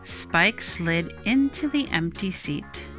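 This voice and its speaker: Very slow, expressive woman storyteller. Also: on the low side, music in the background. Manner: deliberate, dramatic phrasing. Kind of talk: expressive children's storybook read-aloud.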